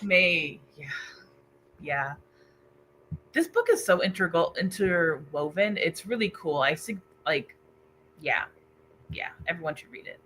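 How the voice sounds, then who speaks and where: Female livestream host, bright and animated, excitable book chatter, faint noise behind. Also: on the low side, a little slow, long pauses. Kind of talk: casual livestream chat, reading out viewer comments.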